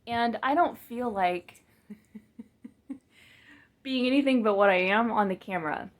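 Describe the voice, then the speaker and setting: A woman talking casually to her camera in a monotone, flat voice, rambling with fillers and restarts and poking fun at herself. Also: fairly high pitch, slow. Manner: rambling, many fillers and restarts, self-mocking. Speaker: vlogger and business coach. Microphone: camera mic, speaking to the lens.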